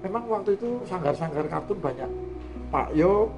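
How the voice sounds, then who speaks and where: A man speaking as a guest in a conversational discussion, explaining things with frequent hesitant pauses. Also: high voice, lively intonation, muffled recording, music in the background. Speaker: guest speaker on cartoon art.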